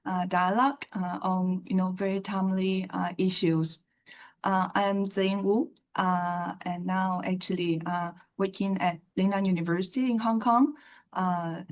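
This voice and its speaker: A woman academic on a panel, speaking hesitantly with many fillers. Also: mid-range voice. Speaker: panelist, research assistant professor. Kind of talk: panel self-introduction.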